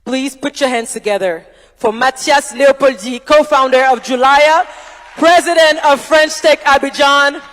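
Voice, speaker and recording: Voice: high-pitched, measured pace, fairly bright tone, faint music behind. Upbeat emcee in a reverberant hall. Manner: hypes the crowd, then asks long, formal questions. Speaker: panel moderator and emcee. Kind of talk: event hosting and panel questions. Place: large university hall, on stage.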